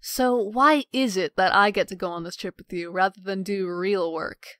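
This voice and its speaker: A woman's voice: mid-range voice, normal speed, a bit bright, dry recording, natural voice. A woman playing a sarcastic, sulky, rebellious sister in a comic dub.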